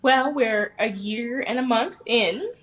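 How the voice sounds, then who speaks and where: A woman's voice: a little high, slow pace, a crowd in the background. A woman with an American accent talking casually and informally as a guest in a podcast interview. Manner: informal, slangy. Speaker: podcast guest, small business owner.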